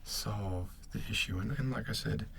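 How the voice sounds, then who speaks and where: A man voicing a smug, menacing gang leader in a soft, intimate ASMR roleplay, speaking low and haltingly with mock kindness. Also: creaky, a little hiss or hum. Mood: smug menace. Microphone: close ear-to-ear ASMR microphone.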